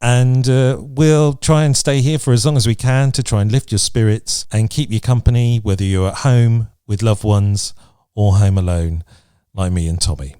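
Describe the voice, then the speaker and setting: A man's voice: medium pitch, fairly even intonation, normal speed, dark tone, smooth, no echo, studio quality. A man hosting a podcast in a casual, conversational style. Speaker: podcast host.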